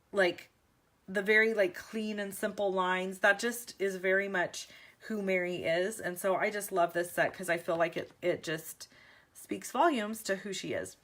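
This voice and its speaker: A chatty woman talks through her paper crafts at a table with an easy, gushing manner. Her voice carries a slight allergy rasp, and she fills pauses with ums. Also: mid-range voice, a bit bright. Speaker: stamping demonstrator. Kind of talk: casual craft video chat. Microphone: overhead camera on hands, speaker off-screen.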